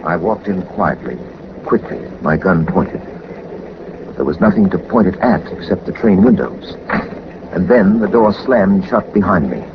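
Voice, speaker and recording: Suave unflappable old radio detective, lowish voice, dry understated, hissy muffled 40s recording. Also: male voice, lively intonation, measured pace. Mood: tense narration. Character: suave, unflappable male detective, dryly witty. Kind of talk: 1940s radio drama acting and first-person narration. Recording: dull, very noisy 1940s radio recording.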